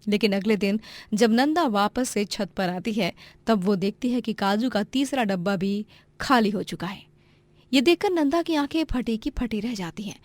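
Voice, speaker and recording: A woman's voice: medium pitch, animated, moderate pace, dry recording. A woman narrating a scripted moral story as the storyteller.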